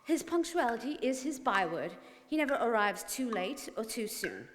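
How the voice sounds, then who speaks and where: A woman's voice: a little high, very animated, normal speed. A woman playing a precise, skeptical club member in a radio drama, speaking formally. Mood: assured. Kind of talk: stage radio drama acting. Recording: reverberant hall, clear noise, clicks.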